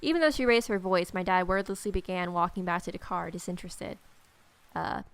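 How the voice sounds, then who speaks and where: Woman reading aloud with a creaky voice. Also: lowish voice, brisk. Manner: irreverent, sarcastic. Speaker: let's player. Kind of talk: reading visual novel aloud with casual joking asides.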